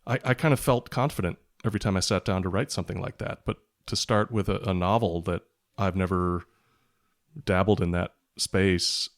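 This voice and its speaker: Low-voiced man in interview. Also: fairly even intonation, moderate pace. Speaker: guest, author. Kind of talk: reflective interview talk.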